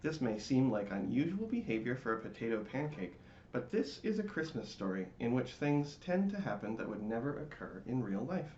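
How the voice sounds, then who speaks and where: A man giving a theatrical, expressive dramatic reading of a storybook. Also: medium pitch, moderate pace. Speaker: storybook reader. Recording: roomy, dull, faintly noisy home recording.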